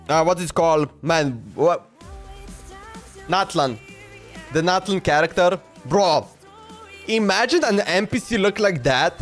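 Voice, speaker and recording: A man livestreamer chatting casually in a slangy, exclamatory way as he reacts, often repeating himself. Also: on the high side. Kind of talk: casual reaction stream chat. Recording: background music under voice. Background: music.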